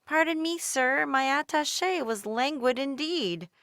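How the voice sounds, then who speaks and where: A woman voicing an eager young girl who loves big words, talking in an excited, pleading way. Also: high voice, a little slow, no echo, studio quality, pitched above the performer's own voice, heavily acted.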